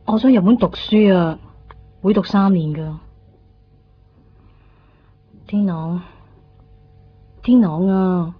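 A husky, restless young woman. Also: mid-range voice, unhurried. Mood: pleading. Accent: Hong Kong Cantonese. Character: restless young woman, reserved but exasperated, leaving home. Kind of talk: 1990s Hong Kong radio drama acting. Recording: dull 1990s radio recording.

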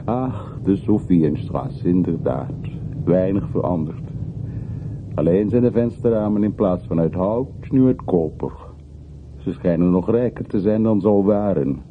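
Wistful bossy guy back in his hometown, deep-ish formal voice, old radio drama, phone-like sound. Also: unhurried. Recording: muffled phone-like old radio recording, faint noise.

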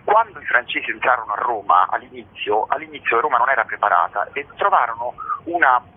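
A man, an actor, telling a story and answering questions as a radio guest on a phone line, in an animated, emphatic way. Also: medium pitch, fairly quick, slightly rough.

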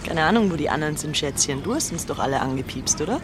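A woman's voice: low voice, lively intonation, quick pace, over loud music. An actress playing a cheeky, blunt woman safecracker in a radio play, slangy and sardonic. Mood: mocking. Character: cheeky, blunt female safecracker in a robbery gang. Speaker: actress in an audio drama. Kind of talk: naturalistic radio play dialogue.

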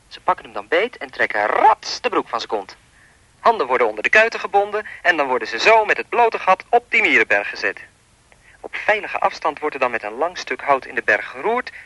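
A man's voice: mid-range voice, sharp tone, no room sound, full character voice, rough recording. An actor playing a chatty, lewd and menacing anonymous male caller in a radio play, insinuating and mock-polite as he rambles. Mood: gloating, chatty.

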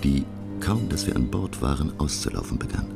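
Very deep, gravelly learned professor. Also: male voice, animated, over loud music, full character voice. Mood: self-assured, recounting. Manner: measured, formal, dramatic emphasis. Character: learned French professor narrating his sea adventure. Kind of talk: radio play first-person narration, literary.

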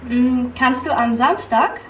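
An actress playing a nervous, obsessive young hotel maid in a radio drama, with terse, hesitant speech. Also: female voice, sits high, takes it slowly, slight background noise. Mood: tense. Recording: roomy studio reverb.

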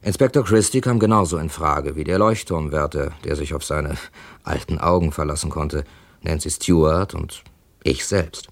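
A man's voice: a bass voice, normal speed, gravelly, exaggerated voice. An actor playing an irritable, defensive younger man who is both suspect and narrator in a radio crime drama. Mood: tense narration.

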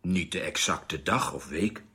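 A man's voice: sits low, a little slow, sharp tone, heavily acted. A man playing an assertive spaceship captain in a radio drama, direct and challenging. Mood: unsure.

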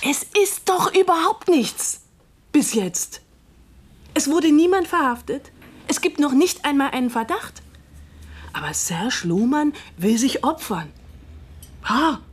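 A woman's voice: high voice, lively intonation, takes it slowly, pauses often. An actress in a German radio drama playing a cutting, sarcastic, middle-aged mother who defiantly shields her son. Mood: angry, scornful.

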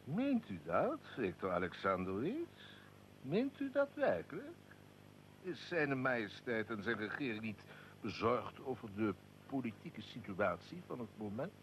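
An actor playing a shrewd Serbian army colonel in a radio drama, courtly and insinuating with a feigned casualness. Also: male voice, medium pitch, huge pitch swings, unhurried, slight background noise, low-quality recording. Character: shrewd Serbian army colonel, smooth conspirator recalling his plot.

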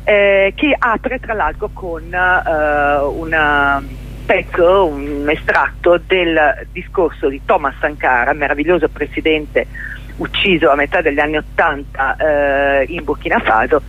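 A middle-aged woman with a husky voice gives a radio interview over a dull, lo-fi link. She explains things reflectively, pausing to search for words and restating phrases for emphasis. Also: deep voice. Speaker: interview guest, theatre journalist and performer.

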